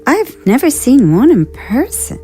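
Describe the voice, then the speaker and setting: A woman playing a curious, hesitant young alien woman in an audio drama, talking slowly with lively, slightly high pitch. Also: slightly rough, faint music behind, full character voice. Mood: eager. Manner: wondering, hesitant, animated.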